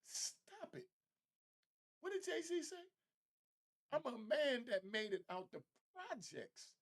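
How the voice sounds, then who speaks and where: A man's voice: very high-pitched, sing-song, slow pace. A man giving informal, emphatic reaction commentary as a show host, often repeating phrases for effect.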